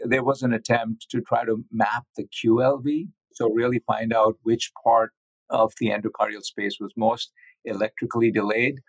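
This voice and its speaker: A man giving measured, methodical explanations as an interviewed medical researcher. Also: mid-range voice, no echo, poor audio.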